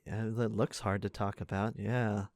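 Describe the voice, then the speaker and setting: A man playing an earnest, caring high school senior in an intimate audio roleplay, speaking casually and haltingly to a best friend. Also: little pitch movement, vocal fry, darkish tone, dry recording, clean studio sound. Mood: serious, bewildered. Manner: casual, halting, stammering, conversational.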